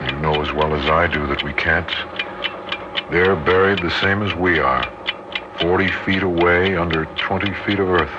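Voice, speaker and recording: A man playing a deep-voiced, steady father in a bunker. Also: normal speed, close to an everyday voice. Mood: grim, hushed. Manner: hushed, steady, reassuring. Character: father sheltering his family underground. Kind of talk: 1960s radio drama acting. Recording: dull old radio recording with heavy noise.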